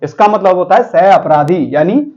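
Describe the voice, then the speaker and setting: A man's voice: high-pitched, animated, moderate pace, darkish tone, highs cut off. A man teaching vocabulary to students in a chatty, joking classroom style, often calling them children and friends.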